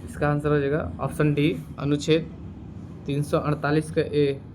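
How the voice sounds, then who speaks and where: A man teaching through exam quiz questions, reading options and repeating answers deliberately. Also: on the high side, level tone, noisy background.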